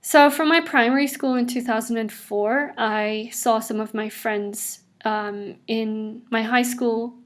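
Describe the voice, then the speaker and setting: A woman's voice: a little high, fairly even intonation, unhurried, hardly any pauses. A woman in her twenties with a mixed international accent, chatting casually about her own life while drawing. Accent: mixed international English. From South Africa. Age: 20s. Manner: chatty and upbeat, with frequent laughs and asides. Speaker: vlogger. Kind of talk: casual personal narration over drawings.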